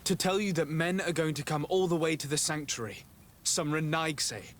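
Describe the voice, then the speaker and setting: Earnest male noble played by an actor, courteous and measured, game cutscene, ambient noise behind. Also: on the high side, fairly bright tone, natural voice. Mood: formal, concerned. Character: earnest, courteous young noble diplomat, burdened by family betrayal. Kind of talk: video game cutscene dialogue acting.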